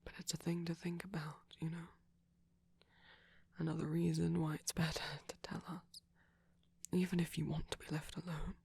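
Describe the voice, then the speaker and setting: A man voicing a gentle, caring vampire boyfriend in a husky, breathy whisper for an ASMR roleplay, speaking tenderly and soothingly. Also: on the high side, flat delivery, normal speed, pauses often, no room sound, exaggerated voice. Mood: soft, reassuring. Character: gentle, caring male vampire boyfriend, softly self-conscious.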